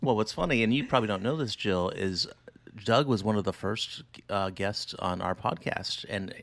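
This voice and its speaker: A man's voice: on the low side, lively intonation, normal speed, vocal fry, fairly bright tone, dry recording. A man hosting a podcast in casual chat, hesitant and full of restarts, laughing often. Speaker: podcast host.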